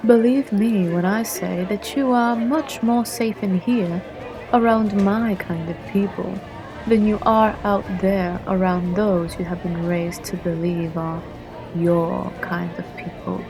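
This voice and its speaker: Slow-talking roguish assassin played by a woman. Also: medium pitch, fairly even intonation, rough recording. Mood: coaxing, reassuring. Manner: teasing, unhurried, coaxing with old-fashioned turns of phrase. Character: roguish, flirtatious female guild assassin in a fantasy tavern. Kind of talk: ASMR fantasy roleplay. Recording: music and tavern chatter behind.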